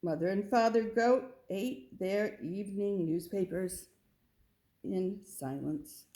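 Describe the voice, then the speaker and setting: A woman reads a children's picture book aloud. Her pace is very unhurried and her pitch sits mid-range, in a storytelling style. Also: mellow.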